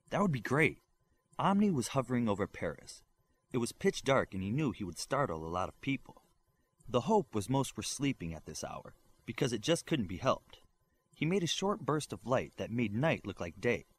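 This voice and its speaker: A man reads fiction aloud as an audiobook narrator. His medium-pitched voice moves widely up and down as he delivers the scripted prose. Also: sing-song, moderate pace, husky. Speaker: audiobook reader.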